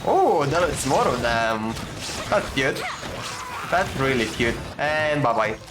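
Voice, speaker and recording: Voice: medium pitch, very animated, slow, background noise. A game streamer giving casual, reactive commentary, muttering and thinking aloud while playing. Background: faint game music.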